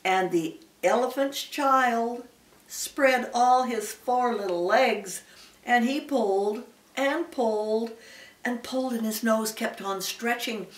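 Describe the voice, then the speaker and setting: An elderly woman, a great-grandmother, reading a children's story aloud and adding small asides to the listener. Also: fairly high pitch. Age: older, great-grandmother. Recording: roomy, echoey, slightly distant mic.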